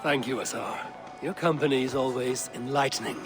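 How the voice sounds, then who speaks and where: An actor playing a polite, inquisitive traveller in a video game, courteous and measured. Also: male voice, medium pitch, natural voice. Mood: polite, curious. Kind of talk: video game character dialogue. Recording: loud music behind.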